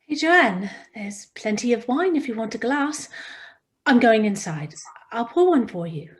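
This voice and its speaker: A woman's voice: a little high, sing-song, unhurried. A woman playing a panicked, anxious woman fending off a monstrous attacker in a screenplay table read, stammering and pleading. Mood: nervous, relieved.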